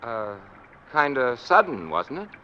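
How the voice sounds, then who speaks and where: A man's voice: mid-range voice, sing-song, a little slow, sharp tone. A man playing a friendly, teasing young American policeman in a 1950s radio drama, breezy and folksy. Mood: friendly, puzzled. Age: young adult. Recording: dull vintage radio recording, faint noise.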